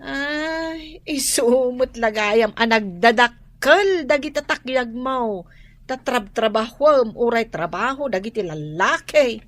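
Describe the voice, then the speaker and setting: A woman's voice: fairly high pitch, lively intonation, slow, a bit bright, no echo. A woman with an Ilocano accent playing a brash, gossipy housewife in a radio drama. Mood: mocking, gossipy.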